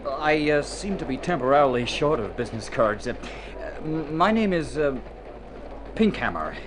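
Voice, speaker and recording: An actor playing a well-bred amnesiac gentleman bluffing under a false name, by turns hesitant and grandiloquent, in golden age radio drama style. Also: on the high side, sing-song, normal speed. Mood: flustered, bluffing. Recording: noisy vintage radio drama recording.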